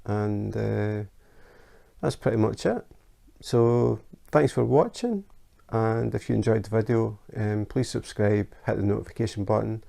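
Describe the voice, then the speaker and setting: Scottish guy chatting on his craft vlog, dark mellow voice, lots of ums. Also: lowish voice, a little slow, creaky. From Scotland. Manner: chatty, relaxed, with frequent ums and uhs. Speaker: YouTube craft channel host. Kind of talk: casual how-to vlog. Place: his home in Denmark.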